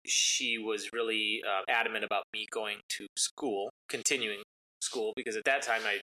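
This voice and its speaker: Bright-voiced man telling his story. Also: fairly low pitch, moderate pace, low-quality recording. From United States. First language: Italian. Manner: rambling, relaxed, frequent ums and uhs. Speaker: interview guest, racing driver. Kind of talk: casual storytelling interview.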